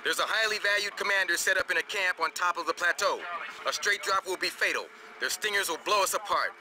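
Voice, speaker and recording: An actor playing a blunt, hard-bitten military officer giving a businesslike briefing in a video game. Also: male voice, high-pitched, a little fast, close to an everyday voice. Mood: urgent, businesslike. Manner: blunt, businesslike, full of jargon. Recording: clear outdoor vehicle noise behind.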